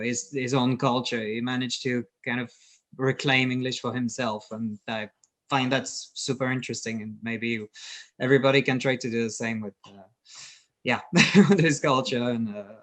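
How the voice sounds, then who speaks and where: French-native man co-host, mid-pitched, halting and searching for words. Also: a bit bright. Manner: hesitant, many fillers and restarts. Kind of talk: casual video call interview.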